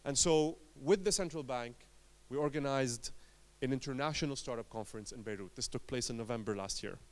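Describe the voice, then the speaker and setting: A man's voice: medium pitch, a little fast. Man telling a story on stage. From Lebanon. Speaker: conference speaker, entrepreneur. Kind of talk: conference talk, personal storytelling.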